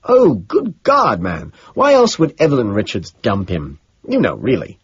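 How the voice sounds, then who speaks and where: A man's voice: mid-range voice, wildly swooping pitch, a little slow, breathy edge, dull audio, full character voice. A man voicing a smug, dismissive, upper-class socialite with an affected, condescending drawl.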